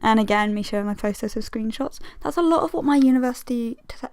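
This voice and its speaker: Young woman, slightly strained creaky voice. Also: fairly high pitch, quick pace, a little mellow, no echo, studio quality. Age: 20s. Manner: self-deprecating, chatty, rambling. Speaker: illustrator and video host. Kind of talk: casual sketchbook tour commentary.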